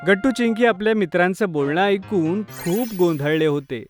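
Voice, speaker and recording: A man's voice: sits high, moderate pace, soft background music. A man reading scripted narration for a children's moral story.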